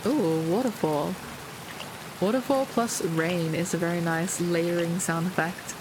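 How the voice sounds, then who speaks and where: A woman with a slightly hoarse voice, chatting casually through her gameplay with light puns and frequent ums. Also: fairly low pitch, unhurried, noisy background. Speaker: gaming video creator. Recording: loud game music with rain sounds behind.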